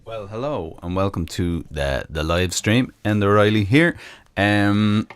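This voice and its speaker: A man's voice: on the low side, unhurried, clear, resonant tone, no echo. A man with an Irish accent hosting a live music stream, chatting casually with many ums and uhs. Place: home room in Michigan. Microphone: close microphone.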